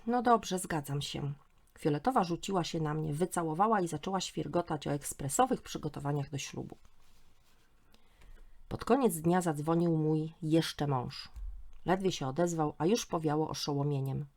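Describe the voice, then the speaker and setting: A woman's voice: low-pitched, moderate pace, gravelly, darkish tone. A woman hosting a story podcast, reading humorous first-person narration in a wry, sardonic manner.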